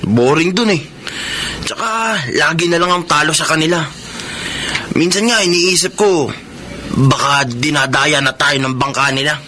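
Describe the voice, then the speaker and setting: A restless young Filipino man in a radio drama, colloquial and musing. His voice is fairly high and very bright, with faint noise behind it. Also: normal speed, long pauses. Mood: bored, boastful. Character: restless young brother, a gambling tricycle driver. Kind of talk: Tagalog radio drama acting.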